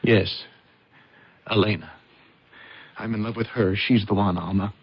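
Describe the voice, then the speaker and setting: An actor in a radio drama playing a trapped husband who pleads in an agonized, halting way. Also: male voice, fairly low pitch, fairly even intonation, breathy edge. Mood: quietly resolute. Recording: dull vintage radio drama recording.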